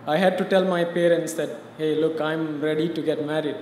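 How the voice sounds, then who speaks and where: A man from India answers questions from a podium in a conversational, explanatory way, speaking a little above the usual male pitch. The sound carries through a large hall with a lingering reverb and faint background hum. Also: fairly even intonation, moderate pace, a little mellow. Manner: conversational, explanatory, uses "you know". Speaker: guest interviewee. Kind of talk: personal story in a live interview. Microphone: podium mic.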